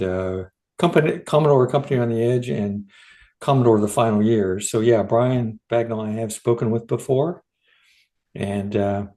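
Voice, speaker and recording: Male American podcast host, middle-aged, somewhat low pitch, informal conversational delivery. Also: dark tone. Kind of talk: casual discussion.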